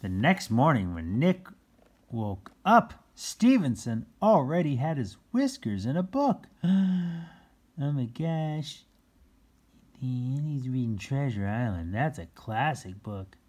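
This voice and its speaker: Children's book reader, low husky voice, leisurely pace, exclaims and explains words along the way. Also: sing-song, takes it slowly, darkish tone. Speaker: children's book read-aloud host. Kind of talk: reading a picture book aloud with playful asides.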